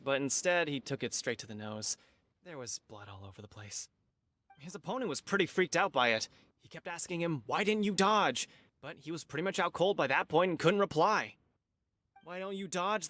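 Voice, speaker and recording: A man's voice: fairly high pitch, animated, normal speed, sharp tone, dry recording. A man playing a courteous, effusive and rueful martial-arts contestant in a video game. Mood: exasperated, weary. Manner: effusive, wordy, formal phrasing. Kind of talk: video game character acting. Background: game music.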